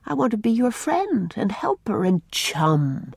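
A woman voicing a kind, gentle young woman who speaks softly and reassuringly. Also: medium pitch, sing-song, measured pace, gravelly, slightly rough, no echo, clean studio sound, pitched above the performer's own voice, exaggerated voice. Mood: tender. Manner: soft, tender, reassuring. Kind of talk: audiobook character reading.

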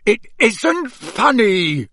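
A man's voice: high-pitched, very animated, drawn-out words, pushed and bright, dry recording, pitched above the performer's own voice, full character voice. Audiobook role: male narrator as outraged middle-aged dad, emphatic and indignant, dry close recording. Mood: angry, scolding. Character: outraged middle-aged father scolding his sons. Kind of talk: audiobook character voice.

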